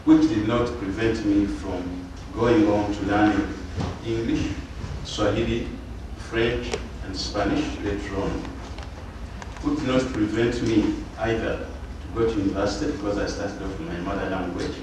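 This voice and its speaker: An older man from Uganda, a native Luganda speaker, giving a formal, measured symposium address at a panel table, often pausing with fillers. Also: lowish voice, fairly even intonation. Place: lecture hall panel table. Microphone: table mic on stand. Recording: echoey room, distant mic, background hiss.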